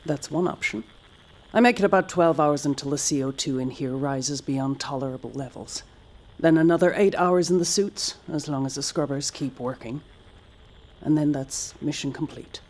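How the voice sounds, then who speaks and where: Husky, stoic astronaut commander. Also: female voice, low voice, moderate pace, a little mellow. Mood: resigned, flat. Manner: clipped, restrained, matter-of-fact. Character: stoic, resigned female astronaut mission commander. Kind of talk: audio drama acting.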